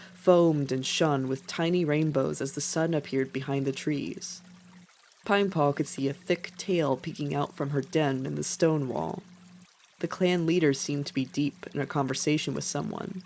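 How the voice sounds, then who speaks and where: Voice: mid-range voice, fairly even intonation, moderate pace, vocal fry, darkish tone. A narrator reading scripted fiction as the storyteller of an animal tale. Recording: faint background noise.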